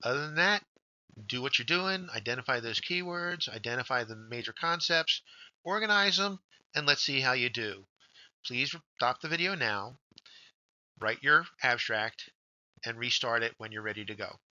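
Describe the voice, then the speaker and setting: A man's voice: mid-range voice, expressive, normal speed, pushed and bright, dry recording, muffled recording. A man giving scripted instructional narration as a workshop instructor, guiding learners step by step and addressing them directly.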